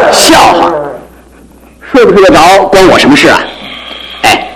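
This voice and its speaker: High, boisterous, carefree young bachelor. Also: male voice, very animated, takes it slowly, exaggerated voice. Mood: jovial, carefree. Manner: chuckling, teasing, theatrical exclamations. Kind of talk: Mandarin radio drama acting. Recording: faintly noisy old radio broadcast.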